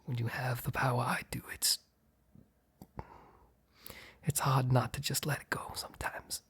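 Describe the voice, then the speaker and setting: A very husky, breath-heavy mob boss acted by a man in an intimate ASMR boyfriend roleplay. He speaks slowly and haltingly, often close to a whisper. He is blunt and ruthless, yet easily flustered around his partner. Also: medium pitch, little pitch movement, fairly bright tone, heavily acted. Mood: confessional, cold. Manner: halting, sighing, blunt, swearing.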